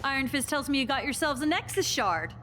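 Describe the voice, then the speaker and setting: A no-nonsense superhero in an animated game. Her delivery is dry and terse, a little high in pitch, over loud music. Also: fairly quick, heavy background noise. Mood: cool, brisk. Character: brisk, no-nonsense female superhero. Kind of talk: animated video game cutscene acting.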